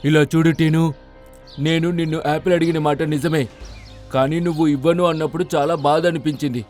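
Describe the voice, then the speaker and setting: A man's voice: on the high side, steady tone, a little fast, a little mellow, lightly acted. A man voicing a pushy male eagle in a children's cartoon story. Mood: earnest. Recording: background music under the voice.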